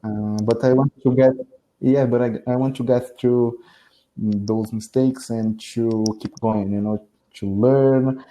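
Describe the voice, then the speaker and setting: A man's voice: mid-range voice, fairly even intonation, a little slow, dark tone, a little hiss or hum, rough recording. A man from Brazil with a Brazilian Portuguese accent, speaking English as a learner in a casual video call, hesitant and often restarting his phrases. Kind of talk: casual online lesson chat.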